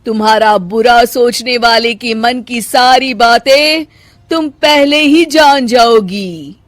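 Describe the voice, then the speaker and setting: A woman voicing a benevolent, solemn divine voice from the sky in a cartoon, proclaiming slowly. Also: a little high, no room sound. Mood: solemn blessing. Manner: slow, proclaiming. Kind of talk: cartoon story dialogue.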